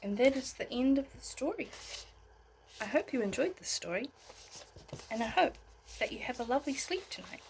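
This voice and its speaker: A woman reading a bedtime story aloud in an expressive way, as if talking to young listeners. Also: sits high, moderate pace, long pauses, slight background noise, poor audio. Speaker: storybook reader.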